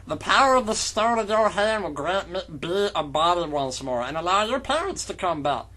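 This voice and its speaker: A man voicing a sinister, commanding villain spirit in a comic fanfiction reading, with drawn-out, menacing delivery. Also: high-pitched, animated, sharp tone, voice pushed up, heavily acted. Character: sinister disembodied villain face, male, commanding.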